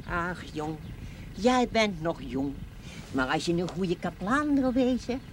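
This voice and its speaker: An actress playing a chatty, plain-spoken old spinster smallholder in a television period drama, folksy and wry. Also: female voice, on the low side. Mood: earnest, wry. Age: older. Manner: folksy, blunt, wry, talkative. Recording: noisy old TV soundtrack with farmyard birds.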